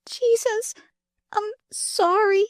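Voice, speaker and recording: A gasping dying girl, high and faint. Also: slow pace, no room sound, clean studio sound. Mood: faint pleading. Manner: gasping, breathy, faint, rustic slang. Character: dying young girl, weak, frightened, defiant.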